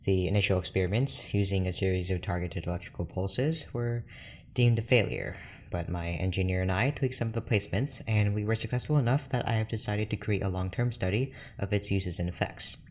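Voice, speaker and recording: Low male voice, reserved research doctor, audio drama, measured, formal, dry, noisy ambience behind. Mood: measured, clinical. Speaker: scientist character in an audio drama. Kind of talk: scripted audio drama monologue and dialogue. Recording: clear background noise with ambience.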